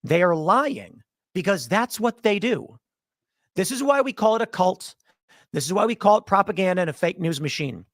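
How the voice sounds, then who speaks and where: A man's voice: high-pitched, sing-song, moderate pace, a bit bright, no room sound, clean studio sound. A man from Chicago giving a political commentary monologue as a podcaster, emphatic and sarcastic in tone.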